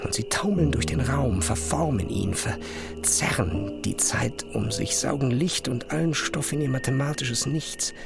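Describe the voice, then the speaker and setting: An actor playing an obsessed, haunted physicist, thinking aloud in an intense, fragmented, brooding inner monologue for an audio drama. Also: male voice, mid-range voice, unhurried, vocal fry, over loud music. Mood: brooding, feverish.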